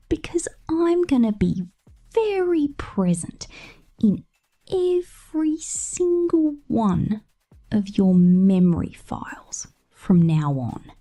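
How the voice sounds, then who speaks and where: Woman doing a creepy possessive robot mechanic girl, slow whispery asmr, mellow and teasing, voice swooping around, faint music. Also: mid-range voice, full character voice. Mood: possessive, dreamy. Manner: slow, teasing, cooing, intimate. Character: possessive, sinister robot mechanic, sweetly menacing captor. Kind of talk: ASMR roleplay, partly whispered.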